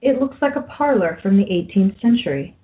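A woman's voice: mid-range voice, expressive, brisk. A woman reading scripted narration for a radio play. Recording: dull lo-fi audio.

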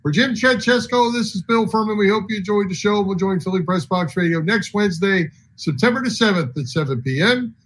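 A man's voice: high voice, fairly quick, few pauses, fairly bright tone, rough recording. A man co-hosting a sports radio show, talking casually and reading out show plugs.

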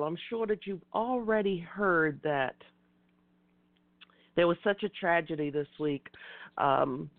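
A woman hosting an internet radio talk show, slightly hoarse and raspy from a cold, speaking in a measured way with repeated greetings. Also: lowish voice, dry recording. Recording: tinny phone line.

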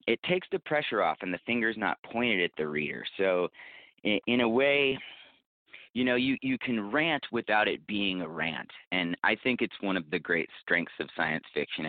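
Guy with a deep voice explaining stuff in an interview, measured, dry phone sound. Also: dry recording. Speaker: podcast creator, interview guest. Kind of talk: thoughtful conversational interview. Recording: tinny phone-like line, dry.

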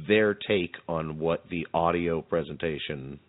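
A man with a very deep voice hosts a casual talk show. He speaks haltingly, doubling back on words as he thinks aloud. The sound is thin and band-limited, like a telephone. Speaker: radio show co-host. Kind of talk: casual chat. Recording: narrow phone-like band.

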